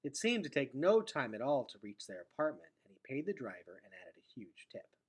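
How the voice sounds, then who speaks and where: A man reads a children's novel aloud like a teacher to a class. His voice is husky and middling in pitch, with big melodic swings. He shapes phrases dramatically, often letting them sink into a hush. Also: big pitch swings, fairly quick. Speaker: teacher reading aloud to students. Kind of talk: expressive storybook read-aloud.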